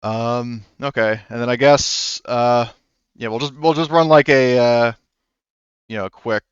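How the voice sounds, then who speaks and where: A man acting as game master in a tabletop game, talking with frequent pauses and fillers. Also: mid-range voice, steady tone, clean tone, dry recording, highs cut off. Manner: hesitant, lots of fillers and restarts.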